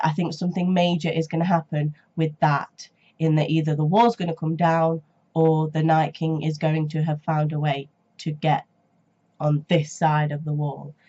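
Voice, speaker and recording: Woman, young adult, congested from a cold, sore throat, low voice, rambling with ums, dull lo-fi recording. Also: mellow. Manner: rambling and hesitant, many ums and restarts. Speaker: reaction channel host. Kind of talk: casual reaction vlog chat.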